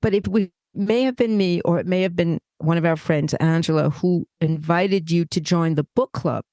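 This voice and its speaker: An older woman co-hosting a podcast, telling stories in a lively, conversational way. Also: lowish voice, moderate pace, no echo. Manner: animated storyteller, acts out remembered dialogue. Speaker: podcast co-host. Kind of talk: casual conversation.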